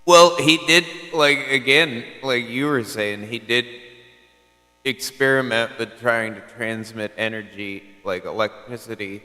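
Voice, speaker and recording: A man's voice: medium pitch, measured pace, pushed and bright, in a large hall. A man chatting casually on a CB radio channel, rambling and filling his talk with "like" and "you know". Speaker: CB radio caller.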